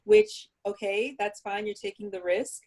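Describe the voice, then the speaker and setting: A woman's voice: mid-range voice, very animated, measured pace, a little mellow. A woman panelist, a dancer and bodybuilder, giving firm and emphatic opinions in a casual discussion.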